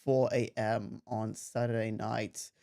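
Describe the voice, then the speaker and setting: A man's voice: lowish voice, flat delivery, slow pace, gravelly, mellow. A young man from Australia chatting casually on a live game stream, rambling with frequent laughs and restarts. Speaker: livestreamer. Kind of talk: casual live-stream chat while gaming.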